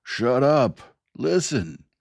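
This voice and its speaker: A man's voice: on the low side, animated, slow, creaky, dark tone, dry recording, clean studio sound, voice pushed up, exaggerated voice. A man voicing a blustering official who bellows to silence a room. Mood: bellowing. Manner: bellowing commands.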